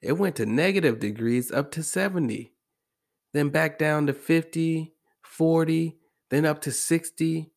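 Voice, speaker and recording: An American man hosting a podcast, talking casually and repeating phrases for emphasis, with a congested, slightly hoarse voice from allergies. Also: a little high, unhurried. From American Midwest. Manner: conversational, emphatic repetition. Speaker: podcast host. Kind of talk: casual motivational monologue.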